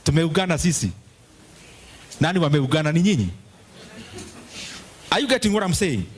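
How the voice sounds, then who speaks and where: A man's voice: on the high side, sing-song, moderate pace, slight background noise. A middle-aged man with a Kenyan accent speaking at a public forum and answering audience questions. He talks emphatically and drops Swahili phrases into his English. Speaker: politician addressing a forum.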